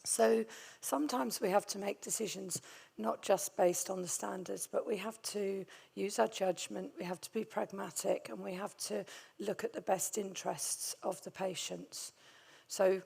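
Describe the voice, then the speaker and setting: A middle-aged woman with a hoarse, gravelly voice from a vocal cord nodule, giving a conference talk on hospital infection control with many hesitant ums and a friendly, self-deprecating manner. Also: mid-range voice, steady tone, unhurried, a little mellow. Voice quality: gravelly, strained voice. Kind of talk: conference presentation.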